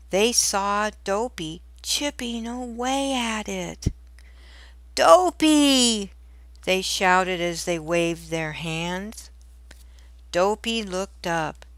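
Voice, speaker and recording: Very slow-paced woman reading a storybook. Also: mid-range voice, a bit bright, dry recording. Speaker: storybook narrator. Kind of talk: scripted children's read-aloud narration.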